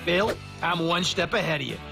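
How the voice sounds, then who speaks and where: Casting: sarcastic cartoon fish sidekick, excitable, impatient, very animated pitch, music underneath. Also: normal speed, creaky. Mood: eager, impatient. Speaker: puppet co-host. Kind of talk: scripted comedy sketch.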